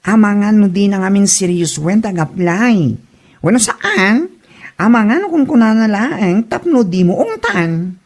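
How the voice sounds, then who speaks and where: A woman's voice: medium pitch, sing-song, measured pace, a little mellow. An actress playing an outspoken, irritated and distrustful young woman complaining to her friend in an Ilocano comedy drama.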